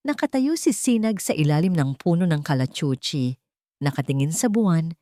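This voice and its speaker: A woman narrates a scripted romance story. Her voice sits fairly low, and she phrases dramatically and deliberately, pausing between short phrases. The sound is dry, with little room to it. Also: darkish tone, no echo.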